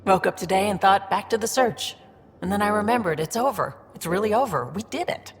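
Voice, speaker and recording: An actress playing a candid, good-humored starship crew member in a video game, casual and self-mocking. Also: female voice, mid-range voice, animated, a little fast, big-room sound, close to an everyday voice. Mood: relieved, wistful.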